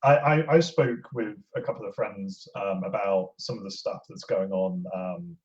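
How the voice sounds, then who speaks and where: Halting man on a lo-fi podcast. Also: fairly low pitch, a little mellow. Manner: halting, rambling delivery. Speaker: podcast guest. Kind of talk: casual podcast chat.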